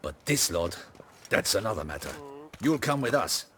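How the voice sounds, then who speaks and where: An actor playing a stern, condescending city guard officer in a fantasy video game, deliberate and authoritative. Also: male voice, fairly low pitch, big pitch swings, fairly bright tone, slight background noise. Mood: stern, knowing. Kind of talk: video game cutscene acting.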